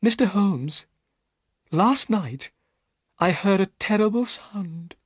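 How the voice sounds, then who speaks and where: A man's voice: sits high, lively intonation, slow, slightly rough, dry recording, studio quality, pitched above the performer's own voice, full character voice. A man voicing a frightened young woman who pleads for help, with earnest, breathless delivery. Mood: anxious. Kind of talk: audiobook character reading.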